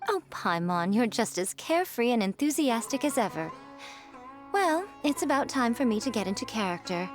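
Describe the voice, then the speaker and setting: Poised, higher-voiced young game heroine. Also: female voice, sing-song, normal speed, soft background music. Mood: amused, composed. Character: poised, amiable young female tournament contestant. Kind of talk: video game voice acting.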